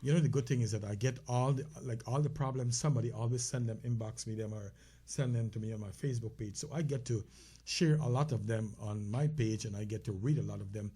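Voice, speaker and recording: A man's voice: medium pitch, quick pace, mellow, husky. A man with a Caribbean accent co-hosting a casual health talk show, speaking conversationally with many fillers and restarts. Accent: Caribbean English.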